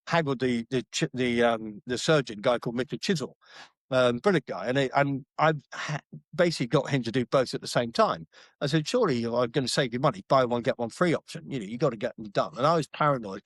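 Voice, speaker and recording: A middle-aged man with a mid-pitched voice tells blunt, slangy stories in a casual interview. He restarts his sentences often and stumbles through fillers. The recording is close and dry. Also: no echo. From Britain. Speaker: podcast guest.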